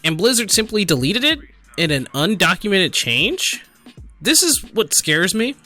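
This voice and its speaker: A man giving casual, unscripted gaming commentary and reading aloud, in a halting, apologetic manner. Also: high-pitched, big pitch swings, bright, forceful tone, no room sound, faint music behind. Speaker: gaming video creator.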